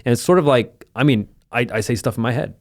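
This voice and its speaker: A man's voice: medium pitch, fast, no echo, studio quality. A man co-hosting a podcast, talking casually and explaining things in a rambling way through examples. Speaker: podcast co-host. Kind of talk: casual conversational discussion.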